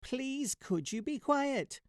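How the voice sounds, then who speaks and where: A man voicing a tiny, bold male mouse in a high, squeaky voice. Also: expressive, slow, no echo, studio quality, full character voice. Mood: indignant.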